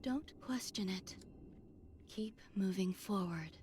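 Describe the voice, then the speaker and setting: An actress voicing a mysterious, calm guide in a video game, speaking in a measured, reassuring and solemn way. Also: female voice, medium pitch, a little hiss or hum, lightly acted. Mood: calm, guiding. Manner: measured, composed. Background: game music.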